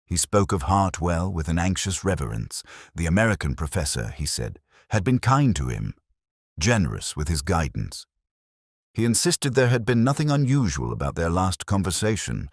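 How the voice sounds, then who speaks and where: Male narrator for detective fiction: extremely low voice, lively intonation, brooding and measured, close dry sound. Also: dry recording. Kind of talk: first-person scripted mystery narration.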